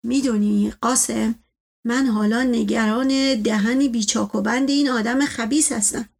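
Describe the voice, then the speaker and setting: A woman's voice: on the high side, steady tone, creaky, a little mellow. Woman playing a stern, pompous older patriarch. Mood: suspicious, brooding. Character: imperious, suspicious, proud older male family patriarch.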